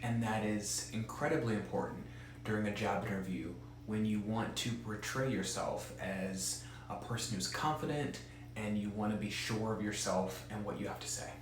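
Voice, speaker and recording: A man's voice: on the low side, moderate pace, very echoey, slight background noise. A man who stutters gives an earnest, direct instructional talk as a presenter for an advocacy group.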